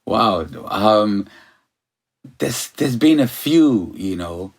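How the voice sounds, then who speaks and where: Fields. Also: male voice, on the low side, expressive, extremely slow. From Ghana. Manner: loose and rambling, repeats "you know" and "like I said". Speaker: sound system operator, guest. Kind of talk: relaxed interview chat.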